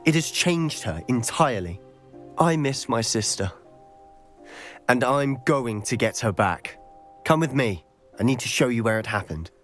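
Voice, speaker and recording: A man's voice: medium pitch, lightly acted. A young man voicing a determined, resentful British teenage schoolboy in a video game, intense and fiercely protective. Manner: intense, earnest, defiant.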